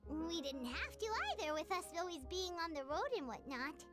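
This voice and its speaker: Very high, childlike fairy companion. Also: female voice, expressive, normal speed. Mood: thoughtful. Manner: refers to self in third person, squeaky. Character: small chirpy childlike female fairy companion, earnest and curious. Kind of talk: anime game voice acting. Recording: background music under the voice.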